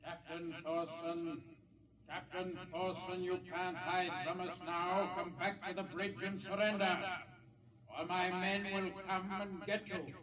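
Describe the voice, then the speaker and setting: An actor playing a smug alien infiltrator in a 1950s radio drama, with measured, mocking politeness. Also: male voice, sits high, steady tone, a crowd in the background, rough recording. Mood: menacing. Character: smug alien infiltrator villain, coolly menacing mutineer. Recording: faintly hissy 1950s radio recording.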